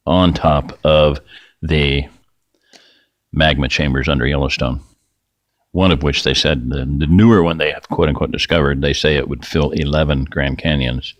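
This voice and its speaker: A middle-aged man hosting a rambling, folksy screen-share talk, his voice getting raspy and dry. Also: a bass voice, dry recording. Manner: rambling, folksy, self-interrupting. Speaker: video host.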